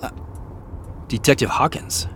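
A man's voice: measured pace, a bit bright, close to an everyday voice. An actor playing a puzzled, guarded ordinary American man in an audio drama, talking casually and with hesitation. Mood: casual, curious. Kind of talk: naturalistic audio drama dialogue. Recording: faint noise behind the voice.

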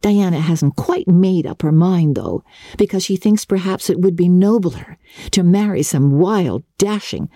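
A woman's voice: fairly low pitch, a little slow, mellow, dry recording, clean studio sound, voice pushed up. A woman voicing an imaginative, talkative young girl, gushing and wistful in a dramatic storybook reading. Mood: dreamy, earnest. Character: dreamy, chatty, imaginative young girl, earnest and romantic. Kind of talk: dramatic audiobook reading of classic children's fiction.

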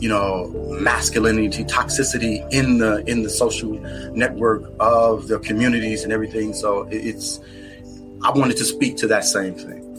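American male author guest, lower voice, thoughtful and halting, over background music. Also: fairly even intonation. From United States. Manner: earnest, reflective, halting. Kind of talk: podcast interview. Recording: music playing underneath.

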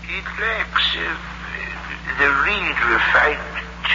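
An actor playing a frail, refined old uncle with a halting, gentle manner in a 1960s radio drama, on a noisy old recording. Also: male voice, fairly high pitch, expressive, muffled recording. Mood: wondering, suspicious. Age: older.

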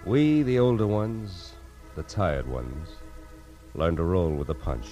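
Tired tough private eye, american, deep voice that moves around a lot, 40s radio drama, faint music. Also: male voice, dark tone. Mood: reflective. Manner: deliberate, hard-boiled. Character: world-weary hard-boiled male private detective. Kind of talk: 1940s radio drama, noir narration.

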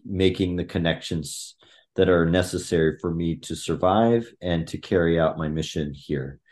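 Deep-voiced man musing with many restarts. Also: darkish tone. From Thailand. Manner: reflective, halting with many restarts and fillers. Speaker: podcast guest. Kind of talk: conversational interview.

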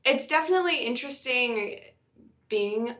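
A woman's voice: a little high, expressive, normal speed, fairly bright tone. A woman co-hosting a podcast, chatting in an earnest, emphatic and conversational way. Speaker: podcast co-host.

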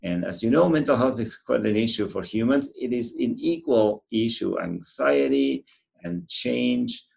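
A middle-aged man with an Argentine accent, answering interview questions in an earnest, halting, conversational way. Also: mid-range voice, animated, creaky, darkish tone, breathy edge. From central Argentina. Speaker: animal shelter representative being interviewed.

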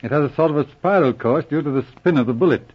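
A man playing a dry-humoured police inspector on leave, with clipped, gentlemanly phrasing, in 1940s radio drama style. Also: a little high, quick pace, slightly rough. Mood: intrigued. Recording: muffled old radio recording.

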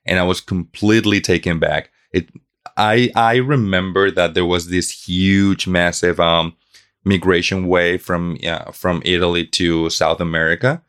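A man's voice: low-pitched, fairly even intonation, a little slow, fairly bright tone, smooth. A man from Bolivia whose first language is Spanish, answering earnestly as a guest in a conversational interview in English.

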